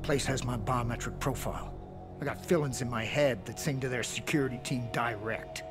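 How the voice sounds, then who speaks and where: A man's voice: medium pitch, moderate pace, natural voice. Game role: male actor as a cantankerous American hacker on the run, cocky folksy drawl of sarcasm, heavy music behind. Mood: sardonic, bitter. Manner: folksy, sardonic, swaggering. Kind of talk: video game cutscene acting. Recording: loud music behind the dialogue.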